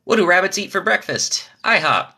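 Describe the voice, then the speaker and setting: A young man reading joke after joke on a live stream, pitching his voice low from the diaphragm to spare his nasal voice. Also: slightly rough. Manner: rapid-fire joke delivery, lively.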